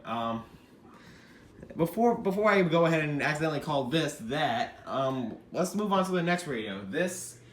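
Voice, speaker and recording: A man casually showing his radio collection on video, rambling and self-deprecating, swearing freely and sometimes breaking into shouts. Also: a little high, big pitch swings, moderate pace. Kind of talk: casual show-and-tell vlog. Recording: echoey room with background noise.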